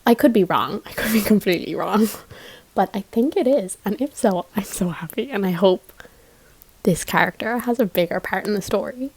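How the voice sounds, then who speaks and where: A woman's voice: medium pitch, animated, moderate pace, husky, no room sound, low-quality recording. A young woman vlogging casually about her week of reading, rambling with plenty of fillers and small laughs. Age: young adult. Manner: chatty, rambling, lots of "like" fillers, soft laughs. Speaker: book vlogger. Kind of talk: casual reading vlog chat.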